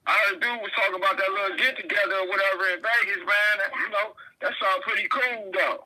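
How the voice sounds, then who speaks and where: This is a man with a Cleveland accent talking loosely as a caller, full of slang and fillers. His voice is husky and very sharp, and it sits a little high for a man. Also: fairly even intonation, fast, rough recording. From Cleveland, Ohio. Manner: laid-back slang, keeps asking "you know what I'm saying". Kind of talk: casual phone chat.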